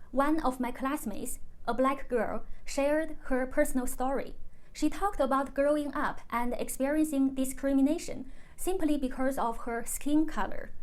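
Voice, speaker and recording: A high-pitched woman who grew up in China, first language Chinese, talking to her channel in English. She speaks in a calm, measured, scripted way, one reflective sentence at a time, like a personal essay read aloud. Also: poor audio. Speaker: vlogger.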